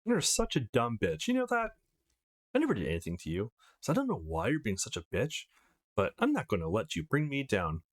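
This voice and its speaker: A man's voice: mid-range voice, wildly swooping pitch, a little fast. A man voicing a brash, teasing, foul-mouthed young woman from a visual novel, telling stories in a cheeky, blunt way. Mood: indignant. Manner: cheeky, blunt, gossipy storytelling.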